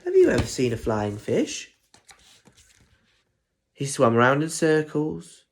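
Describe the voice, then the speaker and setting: A man reads a children's story in a highly animated, gravelly-edged voice. He tells it like a teacher at story time, often pausing to chat and put questions to his young listeners. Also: a little hiss or hum. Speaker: primary school teacher reading aloud. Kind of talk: expressive picture-book storytelling for children.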